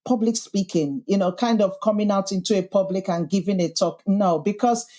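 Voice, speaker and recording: A woman's voice: mid-range voice, a little fast, warm tone. A woman with a Yorkshire accent, the podcast host, answering interview questions in an earnest, thoughtful way and building her points as emphatic lists. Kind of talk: reflective conversational interview.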